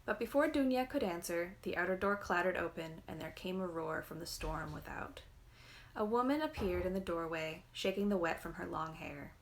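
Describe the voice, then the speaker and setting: Lower-pitched woman reading a novel aloud. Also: normal speed. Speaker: reader. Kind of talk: literary storybook reading aloud.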